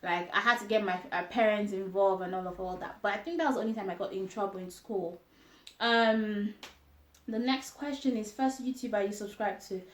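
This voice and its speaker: A young woman with a Nigerian accent chatting casually as a vlogger, laughing often and pausing with ums. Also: mid-range voice, echoey room. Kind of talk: casual chat answering tag questions.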